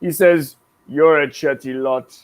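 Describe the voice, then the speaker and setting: A man's voice: fairly high pitch, fairly even intonation, a little slow, smooth. A man chatting casually as a guest on a live stream, talking about trains, laughing often and restarting his sentences. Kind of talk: casual chat.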